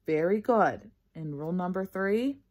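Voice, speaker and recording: A patient male first-grade teacher from a storybook, as a woman reads him. The voice is husky and measured, praising pupils while staying gently firm. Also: on the low side, lively intonation. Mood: encouraging.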